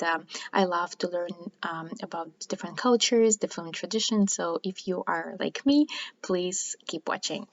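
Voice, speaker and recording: A young woman with a Ukrainian accent talks casually to her camera, filling pauses with ums and uhs. Her voice sits a bit low for a woman. The sound is dull and lo-fi. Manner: chatty, with many ums and uhs. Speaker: vlogger. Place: living room with a lit tree and fireplace.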